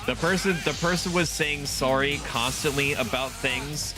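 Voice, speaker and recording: A man's voice: fairly high pitch, normal speed. A man live-streaming a video game, rambling casually and laughing often as he plays. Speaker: VTuber streamer. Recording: game music playing under the voice. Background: game music.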